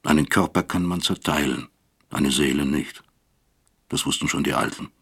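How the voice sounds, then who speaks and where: A bitter East German professor looks back on his guilt in a radio play. His voice is extremely low and husky. He speaks deliberately, as if accusing himself. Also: a bit bright, exaggerated voice. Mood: rueful, reflective. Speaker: first-person narrator of a radio drama. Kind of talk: confessional radio drama monologue.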